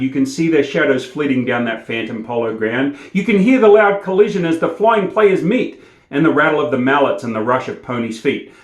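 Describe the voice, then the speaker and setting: A man reciting and presenting poetry in an energetic, theatrical storytelling manner. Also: on the high side. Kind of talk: expressive poetry recitation with casual introduction. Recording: echoey room.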